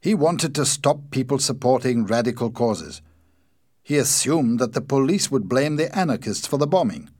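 Man voicing a tired, husky, resigned husband. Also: mid-range voice, animated, moderate pace, darkish tone, pitched above the performer's own voice, close to an everyday voice. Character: weary, guilty husband and shopkeeper, male. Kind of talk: audiobook character dialogue.

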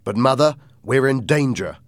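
A man's voice: medium pitch, animated, moderate pace, dry recording, clean studio sound, voice pushed up, lightly acted. A man voicing an earnest, resolute young boy with urgent, plain-spoken delivery in a storybook reading.

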